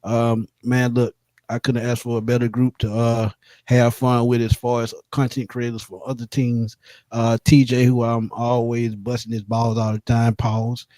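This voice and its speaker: Young man, monotone, dry close sound, loose joking sports talk. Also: mid-range voice, moderate pace, dry recording. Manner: loose, joking, heavy on shout-outs. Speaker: sports podcast co-host. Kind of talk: casual sports chat.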